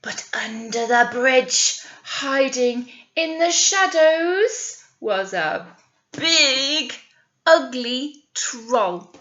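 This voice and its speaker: A woman teacher reading a picture story aloud to young children, drawing out key words and pausing to ask them questions. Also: high-pitched, animated, a bit bright, highs cut off. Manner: exaggerated, drawn-out words with questions to the listeners. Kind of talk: animated read-aloud storytelling with asides to children.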